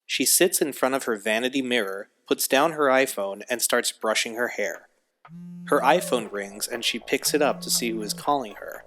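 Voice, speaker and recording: A man's voice: mid-range voice, moderate pace, low-quality recording. A man reading scripted scene narration for an audio drama, describing the action as narrator. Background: music.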